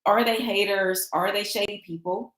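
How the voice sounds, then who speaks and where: A woman hosting a livestream in casual chat, animated, reading viewer comments aloud, her voice a little raspy from travel. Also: mid-range voice, normal speed, echoey room, low-quality recording. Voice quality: slightly raspy voice. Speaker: livestream host.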